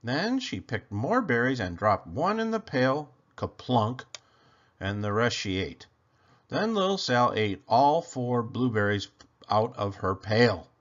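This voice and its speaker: A man reading a children's story aloud in an expressive, deliberate storytelling manner. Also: mid-range voice, lo-fi sound. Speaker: children's book reader. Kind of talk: scripted storybook narration, read aloud.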